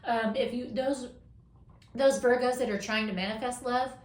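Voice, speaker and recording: A woman tarot reader talks through a casual weekly reading in an encouraging, affirming tone. She stretches out the words she wants to stress. Her voice is going hoarse, and the room gives it a noticeable echo. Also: fairly high pitch, steady tone. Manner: encouraging, affirming, drawn-out emphasis. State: losing her voice, coughing.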